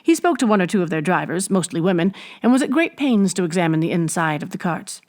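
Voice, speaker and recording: A woman reading a classic adventure novel aloud as an expressive storybook narrator. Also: fairly low pitch, quick pace. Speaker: audiobook reader.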